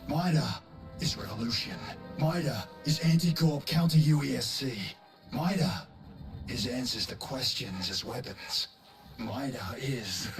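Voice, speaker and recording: An intense anarchist recruiter for a video game. He speaks in slow, clipped, taunting phrases like a conspirator drawing someone into revolution. Loud music plays behind him. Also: medium pitch. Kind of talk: dramatic video game cutscene monologue.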